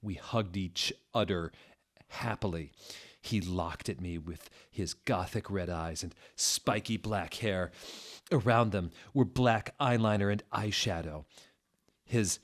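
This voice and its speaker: A man's voice: low voice, takes it slowly. A man narrating a story as a dramatic, comedic reading.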